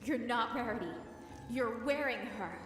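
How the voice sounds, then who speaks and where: A woman's voice: on the high side, normal speed, a bit bright, lightly acted. A woman playing an exhausted but defiant heroic pony in an audio drama, with a strained, emotional delivery. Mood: angry, defiant. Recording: music behind the voice.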